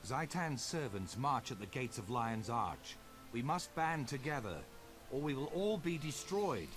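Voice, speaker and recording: An actor playing a gentle, earnest male scholar who reluctantly takes command in a video game. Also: mid-range voice, measured pace, over music, close to an everyday voice. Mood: firm. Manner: earnest, calm, a little hesitant. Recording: game audio with background noise.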